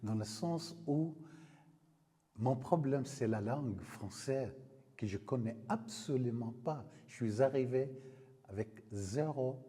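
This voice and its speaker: An older man from Palestine, slowly telling his life story and pausing often to find his words. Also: mid-range voice, dark tone, husky. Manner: slow, searching for words with frequent pauses. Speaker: interviewee. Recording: reverberant room.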